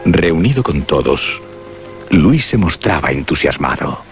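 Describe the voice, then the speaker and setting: Low male narrator, spooky radio play, music playing behind, kinda dull lo-fi sound. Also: sing-song, unhurried, creaky. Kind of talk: scripted horror radio drama narration. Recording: dull lo-fi audio under music. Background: prominent music.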